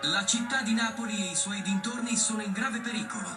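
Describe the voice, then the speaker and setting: High voiced guy reading an emergency warning, very formal, far from mic with music behind. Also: quick pace. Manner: formal, impersonal. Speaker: emergency alert announcer. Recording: roomy, distant playback with music.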